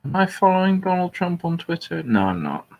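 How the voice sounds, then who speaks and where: Animated man hosting a jokey chat. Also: sits high, fairly quick, a little hiss or hum, rough recording. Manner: jokey, wry. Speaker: host. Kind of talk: casual humorous podcast chat.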